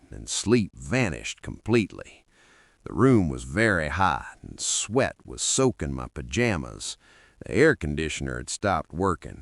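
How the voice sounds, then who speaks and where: A man's voice: sits low, creaky, dry recording, studio quality. A man with a Nigerian accent narrating a folktale dramatically in the first person, as a podcast storyteller.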